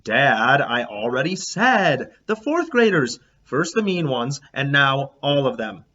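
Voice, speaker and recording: A man's voice: medium pitch, big pitch swings, slow, pushed and bright, full character voice. A man voicing an earnest, bossy third-grade girl from a storybook, emphatic and insistent. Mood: exasperated. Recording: dull lo-fi, background noise.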